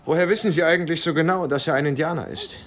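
An actor playing a guarded, polite German wartime lieutenant in a radio drama, speaking formally and with some reserve. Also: male voice, a little high, fast talker, a bit bright, slight background noise, close to an everyday voice. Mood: evasive, polite.

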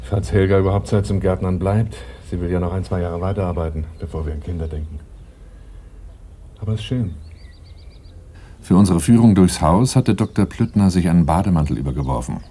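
An actor playing a wary, plain-spoken young bodyguard in a German radio play, both in dialogue and as narrator. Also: male voice, sits low, brisk, warm tone. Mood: composed. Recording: faint noise, 1980s radio play.

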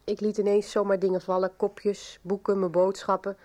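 An actress playing a subdued patient describing complaints in a 1990s Dutch radio soap. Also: female voice, medium pitch, flat delivery, moderate pace, warm tone, natural voice.

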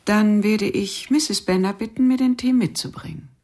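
An actress playing a reserved, guarded lady of the house with a very husky voice in a radio crime drama. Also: mid-range voice, normal speed, darkish tone, lightly acted. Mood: composed.

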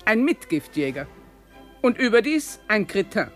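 A woman's voice: medium pitch, big pitch swings, unhurried, faint music behind. A haughty, cutting aristocratic lady. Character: haughty aristocratic lady, sharp-tongued mother. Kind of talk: radio play acting.

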